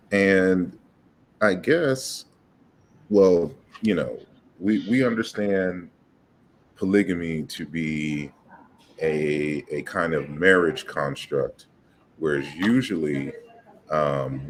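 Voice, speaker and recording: A man's voice: a bass voice, slight background noise. A man hosting an online interview, talking hesitantly with many "you know"s and repeated words. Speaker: host.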